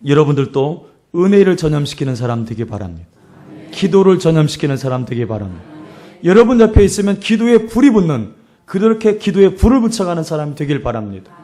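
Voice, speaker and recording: Middle-aged male pastor, high-pitched and hoarse. Sermon delivery, emphatic, with repeated phrases. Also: mellow. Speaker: pastor preaching. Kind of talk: sermon with storytelling and exhortation.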